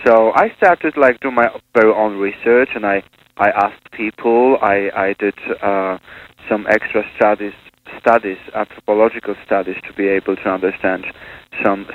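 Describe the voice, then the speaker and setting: A man from Poland, a priest and missionary, speaking in a radio interview with many hesitations and restarts. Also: fairly low pitch. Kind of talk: conversational interview. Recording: tinny phone-line radio with hiss.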